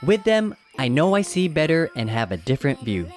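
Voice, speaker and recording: A storybook reader giving scripted children's narration in an expressive, measured rhyming rhythm. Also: mid-range voice. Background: background music.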